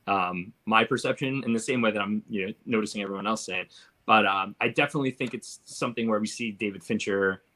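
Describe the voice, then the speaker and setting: A man's voice: on the low side, fast talker, bright, forceful tone. A middle-aged man hosting a casual film panel, reflective and often checking in with the others. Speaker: host of film discussion panel. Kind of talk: casual chat.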